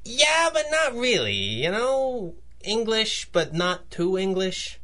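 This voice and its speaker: An actor playing a guarded working-class delivery clerk, hesitant and colloquial, in an old-style radio drama. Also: male voice, high-pitched, sing-song, slow pace, sharp tone, heavily acted. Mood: hesitant, helpful.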